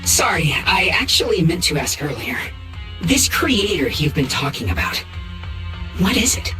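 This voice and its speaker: An actress voicing a polite, apologetic former fighter in a fantasy game, speaking in a courteous, measured way. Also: female voice, deep voice, very animated, bright, forceful tone, faint music behind, full character voice. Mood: polite, curious. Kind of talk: English video game voice acting. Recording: roomy echo on the voice.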